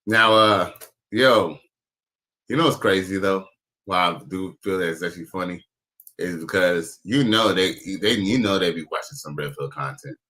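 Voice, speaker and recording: A man with a low voice and a bright, forceful edge runs a loose commentary. He rambles, swears freely and keeps losing his train of thought mid-sentence. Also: normal speed, low-quality recording. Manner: rambling, profane, searching for words. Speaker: commentary show host. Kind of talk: casual reaction commentary.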